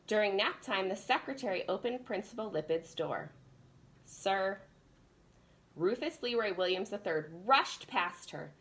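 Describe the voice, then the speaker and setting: Lively woman reading a storybook. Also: mid-range voice, moderate pace, hardly any pauses. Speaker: preschool teacher, story narrator. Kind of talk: children's storybook read-aloud.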